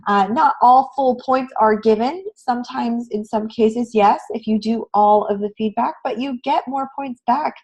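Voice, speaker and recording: A woman with a raspy voice, hoarse from a cold, teaching a live online class in an encouraging, conversational way. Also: a little high, moderate pace, few pauses, a little mellow, muffled recording. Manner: encouraging, addresses students with frequent questions. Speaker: online graphic design instructor. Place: online class session, screen shared.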